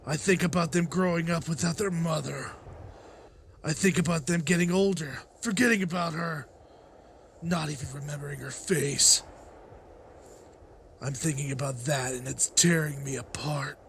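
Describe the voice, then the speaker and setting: An actor playing an anguished, protective father in a motion comic drama, husky and emotionally intense. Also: on the high side, steady tone, moderate pace, pauses often, fairly bright tone, slight background noise. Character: anguished, protective father leading zombie-apocalypse survivors.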